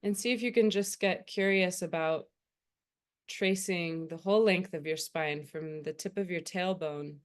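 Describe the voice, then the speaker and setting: Woman, low-pitched, very slow, gentle and soothing, guided meditation instruction, pauses between phrases. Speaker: meditation teacher.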